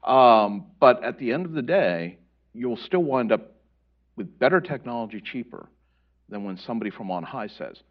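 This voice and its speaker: A man speaking as a panelist in a policy discussion, explaining his points in an animated way. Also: medium pitch, moderate pace. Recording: dull lo-fi audio.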